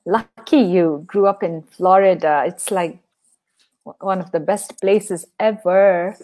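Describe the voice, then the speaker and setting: A woman's voice: lowish voice, steady tone, takes it slowly, darkish tone. A woman teacher hosting a live online English lesson, cheerful and enthusiastic, laughing often.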